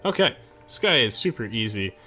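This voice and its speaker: A man's voice: mid-range voice, sing-song, brisk, bright, forceful tone. A young man hosting a casual let's play, explaining the game with enthusiasm. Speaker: gaming video host. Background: faint game music.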